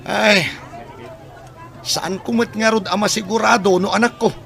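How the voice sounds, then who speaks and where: A man's voice: sits high, steady tone, unhurried, creaky, crowd noise. A man with an Ilocano Filipino accent playing a callous, evasive village man who denies fathering a baby, in a radio drama. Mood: dismissive, defensive.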